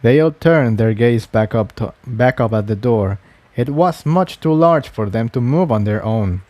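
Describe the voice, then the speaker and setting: A man's voice: medium pitch, expressive, a little slow, warm tone, dry recording. A man reading a fantasy adventure story aloud as its narrator, in scripted narration. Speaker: narrator, fan fiction reader.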